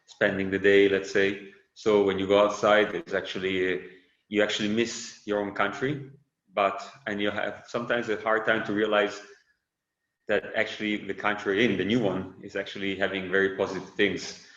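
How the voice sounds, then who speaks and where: A man with an Italian accent, Italian by birth, being interviewed on a podcast and talking in a relaxed, unhurried way with many fillers and self-corrections. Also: lowish voice. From Italy. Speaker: guest, windsurf brand founder. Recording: roomy, slightly dull sound.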